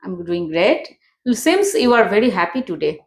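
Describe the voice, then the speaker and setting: A woman's voice: medium pitch, very animated, moderate pace, roomy sound. A woman hosting an interview, chatty and affirming, with loose, non-native grammar. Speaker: host. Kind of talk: conversational interview.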